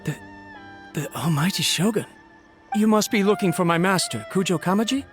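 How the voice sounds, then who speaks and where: A man's voice: high-pitched, lively intonation, normal speed. A man voicing a nervous, deferential young retainer in a video game who stammers and apologizes. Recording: game dialogue over music.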